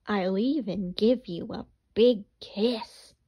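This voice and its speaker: A woman's voice: medium pitch, slow, slightly rough, dull audio. A woman voicing a sly, menacing male fox who drawls his words.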